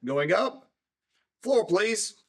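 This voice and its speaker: High-voiced chatty elevator boy played by a man. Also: big pitch swings, drawn-out words, exaggerated voice. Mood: chatty, deferential. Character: eager, chatty new elevator operator, uneducated. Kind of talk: live 1940s-style radio drama acting. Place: live performance in a library before an audience. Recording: live stage recording under loud noise.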